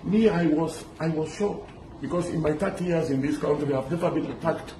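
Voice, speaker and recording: A middle-aged man from Lebanon telling his story in an animated, halting way, restarting often and mixing in pidgin words. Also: fairly high pitch, noisy background. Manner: excited, halting, many restarts. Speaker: interviewee recounting a kidnapping. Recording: echoey with loud street ambience.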